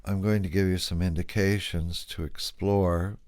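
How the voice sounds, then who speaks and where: A man leading a guided meditation, speaking calmly and gently, asking soft questions with long pauses. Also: low voice, no room sound.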